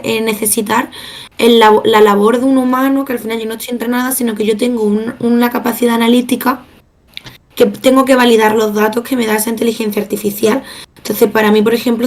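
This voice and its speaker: A woman guest explaining her view in a casual panel discussion, in a measured way. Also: medium pitch.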